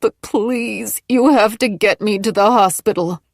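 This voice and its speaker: A woman's voice: mid-range voice, unhurried, creaky, dry recording, studio quality, pitched above the performer's own voice. A woman reader voicing a terrified pregnant woman in labor, desperately begging for help. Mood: panicked.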